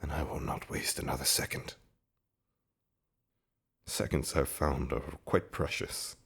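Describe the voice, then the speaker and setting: A man playing a devoted zombie husband in an intimate roleplay audio drama, speaking in a breathy, halting, wryly romantic and eloquent way. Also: very deep voice, fairly even intonation, a bit bright, heavily acted. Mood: playful, eager.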